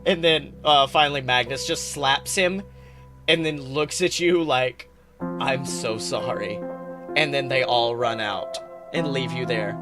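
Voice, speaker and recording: A man's voice: mid-range voice, unhurried. A man improvising dramatic narration as the game master of a tabletop game. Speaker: game master, podcast host. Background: guitar music.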